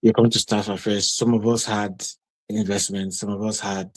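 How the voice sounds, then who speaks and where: A young man with a Nigerian accent answering interview questions spontaneously, haltingly, repeating phrases as he searches for words. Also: fairly low pitch, flat delivery, no echo. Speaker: interview guest, master's student.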